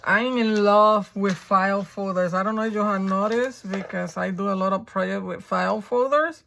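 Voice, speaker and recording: A woman with a raspy, gravelly voice, worn down by a coming flu, walks through a craft project in a chatty, think-aloud way. Her pitch sits in a middle range, with a faint hiss behind her. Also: fairly even intonation, moderate pace, a bit bright. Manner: chatty, thinking aloud while working, frequent ums. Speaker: craft tutorial host. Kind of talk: casual step-by-step crafting tutorial.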